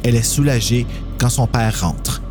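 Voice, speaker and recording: A man's voice: medium pitch, fairly even intonation, normal speed, over music. A man with a Quebec French accent narrating a scripted horror story as host.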